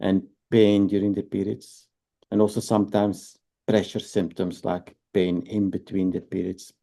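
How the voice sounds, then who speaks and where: A Belgian-accented man in his fifties with a low, gravelly, mellow voice. He is a fertility doctor in an interview, and he explains patiently and methodically, often checking in with tag questions. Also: little pitch movement, unhurried.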